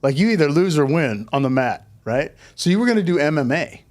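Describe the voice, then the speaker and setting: A man hosting an interview show in casual chat, informal and quick to exclaim. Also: fairly high pitch, lively intonation, darkish tone. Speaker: podcast host.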